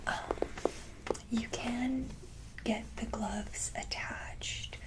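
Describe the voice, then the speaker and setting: Softly whispering woman, slow and halting. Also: a little high, steady tone, poor audio. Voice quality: breathy whisper. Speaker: ASMR video host. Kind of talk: soft-whispered ASMR, casual show-and-tell.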